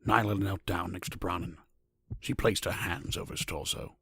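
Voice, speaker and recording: Deep, gravelly man as fantasy storyteller. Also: fairly even intonation, normal speed, pushed and bright, dry recording, studio quality, full character voice. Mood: solemn. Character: solemn male storyteller of a dark fantasy tale. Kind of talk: scripted narration.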